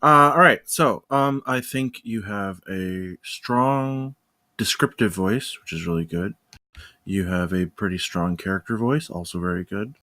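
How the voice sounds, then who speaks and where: Deep-voiced man, slow and measured. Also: bright, forceful tone, no echo. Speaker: table reader giving script feedback. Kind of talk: casual spoken critique.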